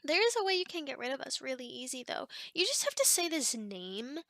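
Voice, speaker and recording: A woman's voice: high-pitched, sing-song, brisk, fairly bright tone, dry recording, clean studio sound, heavily acted. A woman voicing a chatty, teasing female sleep paralysis demon in an ASMR roleplay, talking in a casual, rambling, hesitant way. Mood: guarded, confiding. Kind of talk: ASMR roleplay, one-sided conversation.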